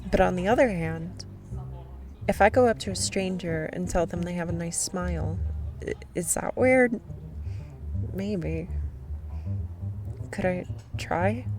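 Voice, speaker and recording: A woman's voice: fairly low pitch, slow pace, long pauses, music in the background. A woman playing a shy, nervous young woman stranger at a cafe in an ASMR roleplay, rambling and second-guessing herself. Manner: rambling, self-conscious, overthinking.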